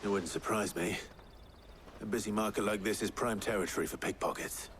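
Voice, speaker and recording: A male actor plays a steady, brooding fantasy adventurer. His voice is deep, calm and low-key, quietly reassuring. Noticeable background noise surrounds it. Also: brisk, lightly acted. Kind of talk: video game acting.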